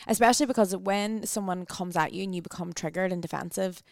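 A woman talking fast and loosely, her sentences full of "like" and restarts. Her voice has a gravelly, fry-laden texture, and the recording is close and dry. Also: on the low side, no echo. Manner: chatty, full of "like" fillers. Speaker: podcast guest, wellness coach. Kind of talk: casual podcast chat.

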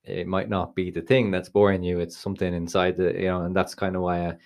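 A man's voice: sits low, little pitch movement, fairly quick, gravelly, darkish tone. A man co-hosting a podcast, asking questions and reflecting casually on himself. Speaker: podcast co-host.

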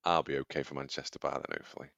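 A man with a very deep voice, made husky by a cold, chatting on a podcast and laughing at his own jokes. The sound is dry and a little dull, close to the mic. His talk is loose and self-mocking. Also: steady tone, rapid-fire, pushed and bright, no echo. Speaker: podcast co-host, aspiring runner. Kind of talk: casual chat. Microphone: studio microphone.

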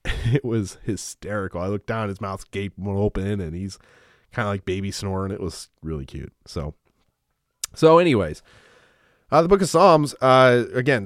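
A pastor in his thirties hosts his Bible podcast with a smooth, lowish voice. He talks in a loose, rambling way, full of fillers and restarts. The sound is close and completely dry. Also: dry recording. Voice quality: smooth, low. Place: small home studio.

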